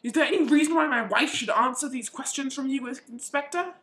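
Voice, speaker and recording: A pompous older head of the family played by a woman in an amateur radio play. The voice is high and bright, full of bluster and indignation. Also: fairly quick. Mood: indignant. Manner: pompous, outraged.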